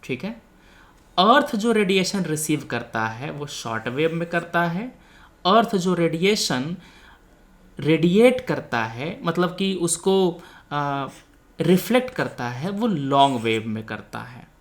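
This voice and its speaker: A man's voice: on the high side, sing-song, slow. A man teaching an exam coaching lesson, explaining didactically, mixing in English terms and often checking that learners follow. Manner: didactic, frequently checks understanding; mixes Hindi with English technical terms. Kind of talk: explanatory lecture with quiz questions.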